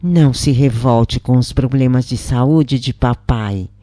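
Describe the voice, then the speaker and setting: A woman reads a channeled spirit's story in a calm, reverent tone, taking her time over every phrase. Her voice is soft and mellow, recorded close and dry with no room sound. Her pitch sits in the middle for a woman. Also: slow pace, no echo. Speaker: reader of channeled spirit messages. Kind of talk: slow devotional first-person reading.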